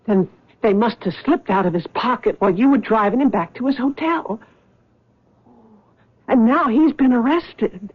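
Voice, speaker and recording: A woman's voice: a little high, normal speed, long pauses, slightly rough. A woman playing a frightened, pleading wife in a 1970s radio drama. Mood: anxious. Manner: anxious, pleading. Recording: dull lo-fi old radio broadcast.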